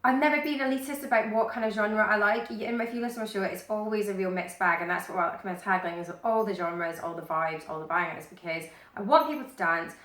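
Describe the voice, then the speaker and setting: A young woman with a Glaswegian Scottish accent, a radio presenter and DJ chatting excitably in an interview, full of "like"s and restarts. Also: mid-range voice, fast, hardly any pauses, echoey room. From Scotland. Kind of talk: casual interview chat.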